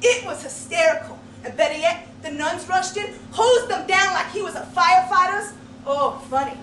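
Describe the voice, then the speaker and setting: Female contest storyteller, very high voice, theatrical and folksy, performing a tall tale; noisy live room. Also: fairly bright tone. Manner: dramatic, emphatic delivery with deliberate pauses; folksy storytelling turns of phrase. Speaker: competitive speech contestant telling a tall tale. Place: indoor speech contest room, distant microphone. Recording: roomy, noisy live recording from far off.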